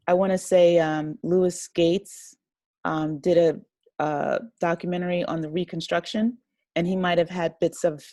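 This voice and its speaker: A woman with a lowish voice speaks in a relaxed conversational interview. She explains in a thoughtful, halting way, working through her points piece by piece. The sound is dry and close, with very little room in it. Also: no echo. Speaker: podcast guest, writer and director.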